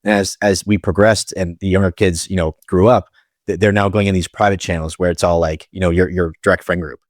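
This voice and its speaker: A man's voice: low-pitched, level tone, a little fast, dry recording, studio quality. A young man hosting a podcast, asking long rambling questions full of fillers and restarts. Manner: rambling, hesitant, many fillers. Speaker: podcast host. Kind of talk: casual interview questions.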